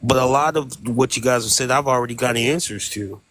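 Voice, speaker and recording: A man's voice: mid-range voice, brisk, fairly bright tone. A man moderating a live-stream discussion, talking hesitantly with many restarts and a deferential tone. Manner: hesitant, repeats words, deferential.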